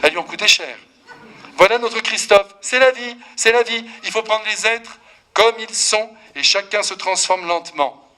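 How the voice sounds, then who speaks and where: Un prêtre d'une cinquantaine d'années prêche un sermon avec vivacité, taquine l'assemblée et s'adresse directement à elle. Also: male voice, sits high, normal speed, smooth. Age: 50s. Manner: lively, teasing, talks straight to the congregation. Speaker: priest.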